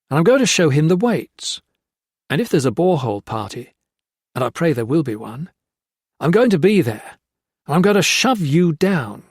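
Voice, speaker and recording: A man's voice: mid-range voice, darkish tone, dry recording, studio quality. A man voicing an ill male prisoner who speaks with defiance and seething threats. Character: gaunt, ill male prisoner, coldly vengeful.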